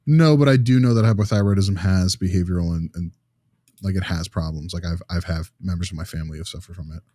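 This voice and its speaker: A man's voice: low-pitched, quick pace, warm tone, no room sound, studio quality. A man chatting casually as a podcast host, with a wry, joking way of talking.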